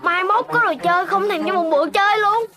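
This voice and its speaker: A performer voicing a young Dalmatian puppy boy in a children's cartoon, animated and childlike, cheerful but quick to sulk. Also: very high voice. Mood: sulky. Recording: music behind the voice.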